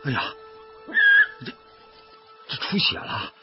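A male actor playing an indignant, tender-hearted bird protector in a Chinese radio drama, excitable and exclamatory. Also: slow, close to an everyday voice. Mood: anxious, tender. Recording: dull radio drama recording with loud music.

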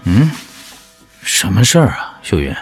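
An actor playing a weary, dutiful young fisherman husband in a radio drama, husky and sighing as he reassures. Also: sits low, very animated, measured pace, faint music behind, exaggerated voice. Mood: sleepy. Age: 20s. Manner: soothing, sighing, earnest.

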